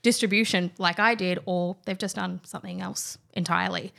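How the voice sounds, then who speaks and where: A woman's voice: on the low side, steady tone, fairly quick, gravelly, pushed and bright. A young woman, chatting casually as a guest about her work, with plenty of fillers and restarts. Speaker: guest, wine trainer. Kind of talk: casual chat.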